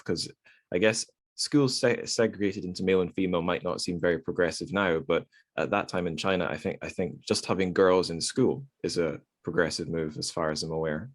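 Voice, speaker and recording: A man's voice: low voice, dry recording, studio quality. A man hosting a literary podcast, talking casually with an informal, self-deprecating manner. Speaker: podcast host.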